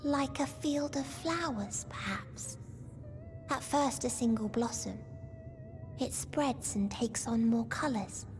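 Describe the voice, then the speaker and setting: A woman voicing a gentle, sorrowful otherworldly girl in a video game cutscene, speaking in a soft, breathy, solemn and poetic way over music. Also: a little high, slow pace. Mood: grateful, serene.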